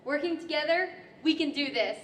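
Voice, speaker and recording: A woman playing an earnest, excitable, encouraging young storybook girl in a 1940s-style radio play on stage. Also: high-pitched, brisk, slight background noise. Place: large indoor library event hall, live stage. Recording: echoey hall, music behind.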